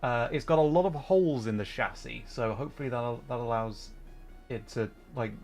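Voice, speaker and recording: A man with a mid-pitched voice hosts a gaming livestream. He chats casually with viewers and comments on play in a playful, chatty way. Faint music runs behind him. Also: moderate pace, a little mellow. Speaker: livestreamer hosting a gaming stream.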